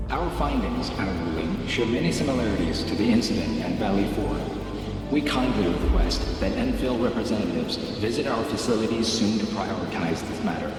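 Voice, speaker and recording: A man playing a measured, courteous delegate in a video game, speaking formally and politely. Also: on the low side, natural voice. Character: measured, courteous male delegate reporting a threat. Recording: cavernous echoey hall, distant, music behind.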